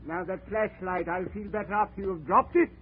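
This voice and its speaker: An actor playing a small, theatrical, menacing crime collector with pompous, ornate speech in a 1940s radio drama. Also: male voice, high-pitched, fairly even intonation, a little fast, natural voice. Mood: mocking menace. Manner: ornate, pompous, precise diction. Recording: tinny, noisy 1940s radio recording.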